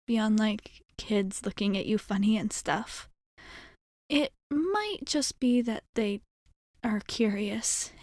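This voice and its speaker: A woman acts out a friendly female alien rescuer in an ASMR roleplay, in a slightly high voice at a slow, easy pace. She is soft and hesitant, caring and gently teasing. The sound is dry and close, with no room echo. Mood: reassuring, protective. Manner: soft, hesitant, reassuring, conversational.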